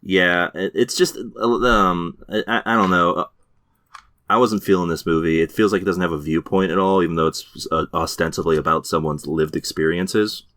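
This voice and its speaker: A man's voice: low voice, fairly even intonation, normal speed, fairly bright tone, no echo, studio quality. A man co-hosting a podcast, chatting casually about TV shows with many fillers and restarts. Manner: hesitant, lots of fillers and restarts. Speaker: podcast co-host. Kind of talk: casual chat reviewing TV shows.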